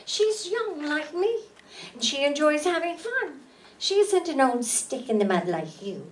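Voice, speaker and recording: Woman playing a high, petulant young society wife. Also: very animated, a little slow. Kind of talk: amateur staged radio play. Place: meeting room stage before an audience. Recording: distant mic, faint room noise.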